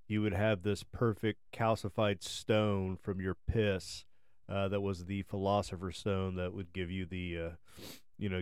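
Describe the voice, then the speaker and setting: A man's voice: on the low side, a little mellow, clear, resonant tone, dry recording, studio quality. Nasal, congested man chatting loosely. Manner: rambling, chatty, swears freely. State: congested from allergies. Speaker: podcast co-host. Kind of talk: casual chat.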